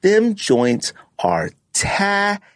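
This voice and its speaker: A man hosts a casual podcast countdown with very lively, swooping intonation. He is blunt and opinionated, leaning on slang and punching key words. The sound is dry, with little room in it. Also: high voice, extremely slow, dry recording. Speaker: podcast host. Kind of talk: casual sneaker countdown chat.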